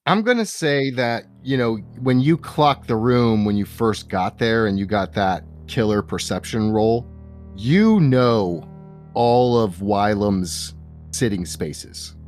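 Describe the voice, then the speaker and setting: Low voice guy game mastering a tabletop rpg, bit of music under him. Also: expressive, takes it slowly, a little mellow. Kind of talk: improvised tabletop game narration.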